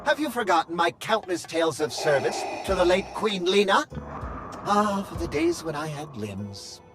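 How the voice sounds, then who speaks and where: A man's voice: high-pitched, big pitch swings, moderate pace. A man voicing a fussy, boastful, proudly loyal droid servant in a video game, with a pompous, theatrical manner. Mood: proud, wistful. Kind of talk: video game character acting. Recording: loud music behind. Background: game soundtrack music.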